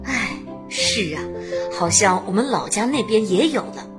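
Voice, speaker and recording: An actress playing a warm, dutiful middle-aged canteen worker and mother in a radio drama, chatty and earnest. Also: mid-range voice, expressive, a little slow, over music, lightly acted. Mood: earnest, reassuring. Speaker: narrator and lead character. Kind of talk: radio drama acting with first-person narration.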